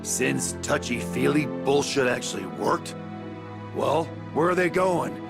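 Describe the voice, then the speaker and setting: Gruff male game hero: gravelly, cynical, blunt and slangy, music behind. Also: mid-range voice, measured pace. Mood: irritated. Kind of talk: English video game voice acting.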